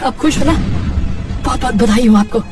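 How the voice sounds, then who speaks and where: High-voiced, wounded young grandson acted by a woman. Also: distant mic, over music, natural voice. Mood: furious. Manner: emphatic, halting, repeats phrases. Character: grieving, furious young grandson confronting his cruel father. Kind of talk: dramatic cartoon voice acting.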